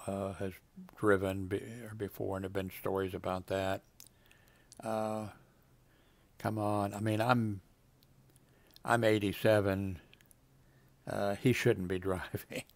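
Older man rambling, gravelly voice. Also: fairly low pitch, no echo. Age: 70s. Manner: meandering, many fillers and trailing asides. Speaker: video blogger. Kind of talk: rambling casual commentary while reading web pages. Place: home desk with computer.

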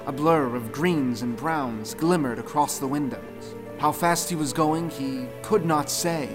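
A man's voice: on the high side, unhurried. A man giving scripted narration for a folktale audio drama. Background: music.

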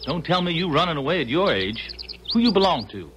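An actor playing a gruff but charitable farmer with a Southern American accent in a radio drama. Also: male voice, a little high, sing-song, fairly quick. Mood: gruffly kind. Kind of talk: 1970s radio drama acting. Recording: noisy, birdsong behind. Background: birdsong.

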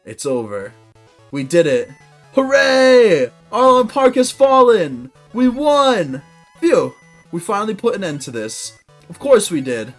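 Young male gameplay host, higher voice, keen and loud when he wins. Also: slow pace, soft background music. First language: English. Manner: excitable, cheering loudly at victories. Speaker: gaming video host. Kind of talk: let's-play commentary. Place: indoor room at a desk.

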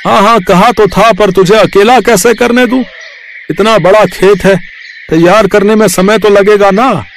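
Ageing farmer father played by a man for a cartoon moral tale: kindly, practical, homely, emphatic, higher-pitched. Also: steady tone, unhurried, slight background noise. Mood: insistent, fatherly. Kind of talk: cartoon moral story dialogue.

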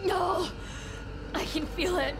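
A woman's voice: extremely high pitch, slow pace, poor audio. An actress playing an anguished, weakened but tough young woman in a video game, strained and emotional. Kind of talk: dramatic video game cutscene acting. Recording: loud eerie music underneath.

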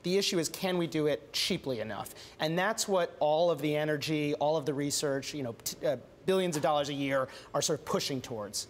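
A man's voice: a little high, a little fast, few pauses, a little hiss or hum. A young man reporter answering questions in a TV news studio, chatty and full of restarts and fillers.

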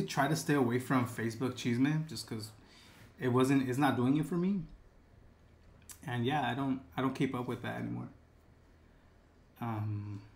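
A man in his twenties chatting casually on a live stream, laid-back and calling everyone "bro", reading chat aloud at his desk. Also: mid-range voice. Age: 20s. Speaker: livestreamer. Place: dark room with gaming chair and lights.

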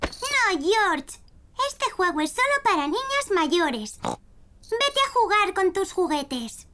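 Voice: extremely high pitch, very animated, slow pace, pushed and bright, exaggerated voice. A performer voicing a cheerful, bossy little girl piglet in a children's cartoon, with a Castilian Spanish accent.

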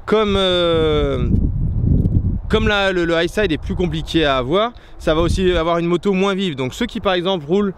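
Young male vlogger, slightly high pitch, casual and rambling, filmed outside on a camera mic. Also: normal speed, a little hiss or hum. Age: young adult. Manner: chatty, explains things with many fillers and restarts. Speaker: motorcycle stunt vlogger. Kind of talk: casual vlog talk to camera. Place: outdoors on a paved lot. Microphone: handheld camera at arm's length. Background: outdoor ambience, passing plane.

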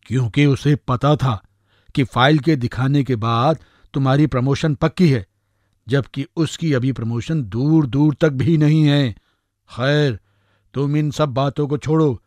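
Cartoon magic eggplant, played by a man: friendly helper, mid-pitched, chummy and reassuring, close dry recording. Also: a little slow, darkish tone, dry recording, close to an everyday voice. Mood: chummy, confident. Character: friendly, confident talking magic eggplant, eager to help. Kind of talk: animated moral story voice acting.

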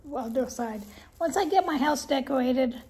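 An older woman casually showing off her shopping haul at home, chatty and rambling with soft laughs and many ums, a little congested. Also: high-pitched, normal speed, noisy background. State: slightly congested, clearing throat. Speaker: haul vlogger. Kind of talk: casual haul show-and-tell. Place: living room at home, indoors.

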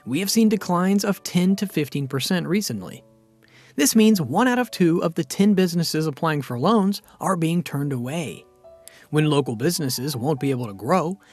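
A man reading a scripted finance explainer narration in an even, steady, explanatory way. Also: fairly high pitch.

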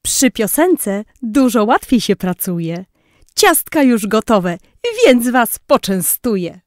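Slow-speaking, generous angel baker played by a woman. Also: fairly high pitch, lively intonation, dry recording, clean studio sound. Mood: cheerful, generous. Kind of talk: children's storybook audio play.

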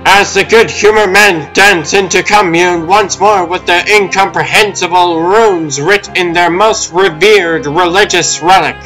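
A man's voice: high voice, fairly even intonation, unhurried, few pauses, sharp tone, soft background music, low-quality recording. A man reading dramatic scripted narration as the narrator of a comic dub.

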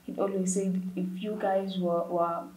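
A young woman with an East African accent speaks about her own experience as an interviewed artist. Her voice sits slightly low for a woman. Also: level tone, unhurried, darkish tone, slight background noise, poor audio. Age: young adult. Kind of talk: personal account in interview. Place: indoor painting studio room. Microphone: lapel.

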